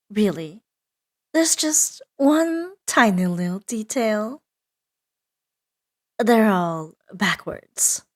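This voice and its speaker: An imperious female demon, played by a woman for a roleplay audio drama, speaks at an unhurried pace in a mid-range voice. She is seductive and playful with an edge of menace, teasing her listener and sinking into breathy whispers. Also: mid-range voice. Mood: smug, amused. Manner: slow teasing delivery, drifting into breathy whispers.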